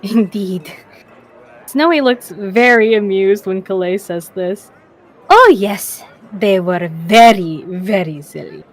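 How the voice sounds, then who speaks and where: A woman's voice: medium pitch, takes it slowly. A woman taking part in a tabletop roleplaying game, switching between narration and character dialogue. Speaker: player.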